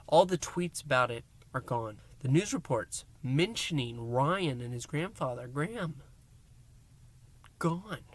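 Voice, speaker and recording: A man hosting a review, recapping a story in a theatrical, emphatic way with dramatic pauses and hushed words. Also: medium pitch, animated. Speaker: podcast review host.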